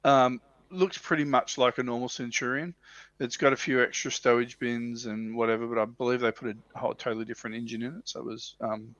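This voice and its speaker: A man chatting casually as a livestream panelist, explaining things and often clearing his throat. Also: medium pitch, steady tone, brisk, fairly bright tone. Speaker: livestream panelist on tabletop wargaming. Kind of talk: casual discussion, explaining slides. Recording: dull lo-fi roomy livestream audio.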